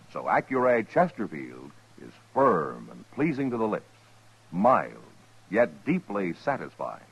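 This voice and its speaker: An American man with a husky voice reading a 1950s radio commercial as announcer. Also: mid-range voice. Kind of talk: 1950s radio advertising read. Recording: dull old radio recording.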